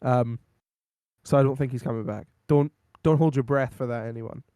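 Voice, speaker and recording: A man co-hosting a podcast and chatting casually, rambling with lots of ums, his voice going hoarse. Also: medium pitch, steady tone, normal speed, warm tone, no echo, studio quality. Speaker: podcast co-host. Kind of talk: casual wrestling recap chat.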